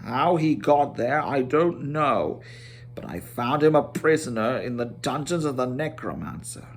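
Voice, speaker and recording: A man voicing a grave old wizard in a storybook reading, stern, proud, slow and grim in manner. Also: medium pitch, animated.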